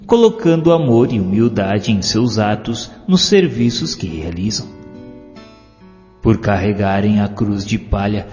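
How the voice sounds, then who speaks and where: A man with a Brazilian accent narrates a scripted inspirational story in a measured, solemn, literary way. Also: lowish voice, darkish tone. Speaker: audiobook narrator. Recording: dull lo-fi, long reverb, music behind. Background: music.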